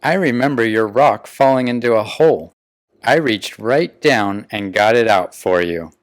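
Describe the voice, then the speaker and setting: A man voicing a proud, loyal young boy pelican in an earnest, expressive children's picture book read-aloud. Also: lowish voice, unhurried. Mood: proud, defensive. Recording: music behind the voice.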